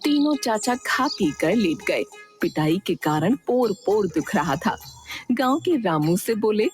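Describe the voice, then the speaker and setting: A woman narrating a moral story for a cartoon in a lively storytelling manner. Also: mid-range voice, unhurried. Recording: music playing behind.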